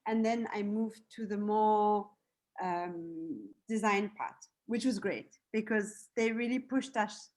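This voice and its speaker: A young woman from Switzerland, raised in Zimbabwe, talking casually as an interview guest, with lots of fillers and restarts. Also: mid-range voice. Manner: chatty, many fillers and restarts, light laughs.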